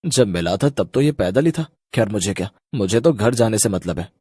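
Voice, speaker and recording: A man plays an anxious everyday father in a cartoon horror tale. His voice is husky and swings animatedly as he sounds puzzled and worried, recorded dry. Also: on the low side, lively intonation, normal speed, warm tone, dry recording. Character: anxious, bewildered ordinary husband and father shopping. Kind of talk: cartoon horror story character acting.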